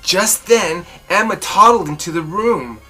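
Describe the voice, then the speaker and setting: A woman storyteller reading a children's picture book aloud expressively, with dramatic, drawn-out emphasis. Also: lowish voice, a bit bright, soft background music.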